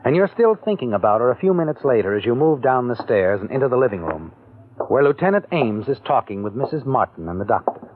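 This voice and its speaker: A man's voice: medium pitch, sing-song, quick pace, no room sound. A man narrating a suspense story in second person with an insinuating, knowing manner, on a tinny old radio recording. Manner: insinuating, addresses the listener as "you".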